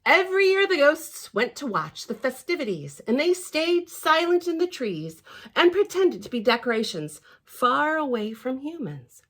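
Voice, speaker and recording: Female children's storyteller: slightly high, hugely varied pitch, expressive read-aloud with chatty asides. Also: normal speed. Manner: theatrical and expressive, with gasps and hushed asides.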